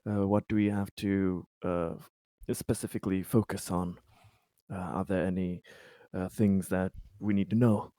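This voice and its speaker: A man playing in a tabletop roleplaying game, asking questions in a polite, halting, improvised way. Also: low-pitched, level tone, mellow, no echo, clean studio sound.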